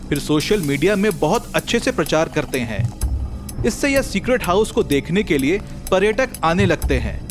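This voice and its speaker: Wildly animated male story narrator. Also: on the high side. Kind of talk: scripted children's story narration.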